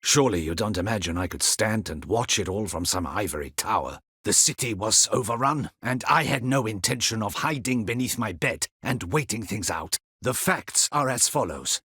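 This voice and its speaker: An actor playing a stern, proud, dutiful captain of a ducal guard in a fantasy video game, with a formal, clipped, haughty delivery and a husky voice. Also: male voice, lowish voice, expressive, moderate pace, hardly any pauses, a bit bright, no room sound, studio quality, full character voice. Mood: cold, accusing.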